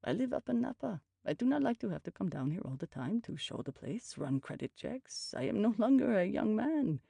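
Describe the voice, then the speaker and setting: A man's voice: high voice, very animated, brisk, vocal fry, warm tone, slightly rough, no room sound, pitched above the performer's own voice, full character voice. Woman playing a middle-aged man, British Indian accent, lilting, self-satisfied landlord, effusive, audiobook character, dry close sound. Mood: complaining. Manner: formal, effusive.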